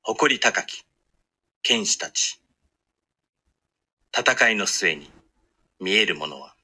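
A man delivering dramatic, weighty trailer narration for a commercial, with a husky voice. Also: lowish voice, animated, slow, bright, forceful tone. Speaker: commercial announcer.

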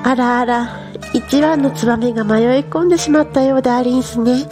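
A woman's voice: on the high side, fairly quick, natural voice. A woman playing an elegant, coy inn hostess who talks like an old-time courtesan in an audio drama. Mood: coy, teasing. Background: music.

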